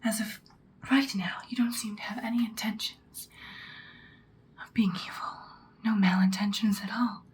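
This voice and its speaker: A woman playing a commanding female vampire mentor in a whispered ASMR roleplay, speaking softly, breathily and deliberately. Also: medium pitch, fairly even intonation, slight background noise, natural voice. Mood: ominous, musing. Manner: slow, deliberate, instructing, ominous. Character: ancient-seeming female vampire mentor, commanding, once ruthless, now good.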